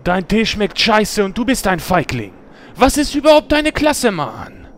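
Man playing an indignant, lively troll rogue boss. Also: high voice, a little slow, heavily acted. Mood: indignant, scolding. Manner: grumbling, tags phrases with "Mann". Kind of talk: German audio-play parody acting.

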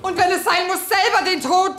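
Frantic rebel woman, radio drama, really high voice, echoey and far off, music under it. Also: brisk. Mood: desperate. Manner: high, agitated, urgent. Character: distraught, urgent woman revolutionary conspirator. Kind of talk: radio play acting. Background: loud music.

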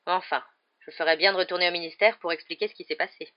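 Une femme qui interprète dans un livre audio un ministre contrarié, soucieux, prompt à protester. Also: female voice, lowish voice, steady tone, quick pace, highs cut off, natural voice. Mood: worried. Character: flustered, irritated male government minister. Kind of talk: acted audiobook dialogue.

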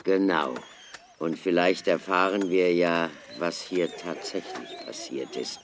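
A man playing a learned, sombre doctor in a German radio drama, speaking in a measured, lecturing manner. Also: low-pitched, noisy background. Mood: sombre, explaining.

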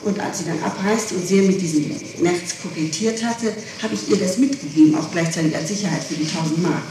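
Woman interviewee, testimony style, halting delivery with repetitions, noisy archival tape with a far microphone. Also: fairly low pitch. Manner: halting, repeats words while explaining. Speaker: person being questioned by police. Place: reverberant room, taped police interrogation. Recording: echoey, noisy old tape, distant mic.

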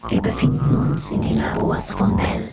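A man's voice: moderate pace, a loud crowd. A man making a dramatic scripted documentary announcement, sounding roomy and set back. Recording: roomy, distant, over loud music.

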